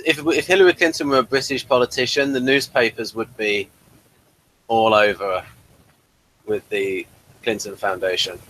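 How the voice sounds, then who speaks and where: A man co-hosting a casual unscripted chat, halting and repeating his phrases as he goes. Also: fairly low pitch, fairly even intonation, fairly bright tone, no echo, low-quality recording. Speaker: podcast co-host.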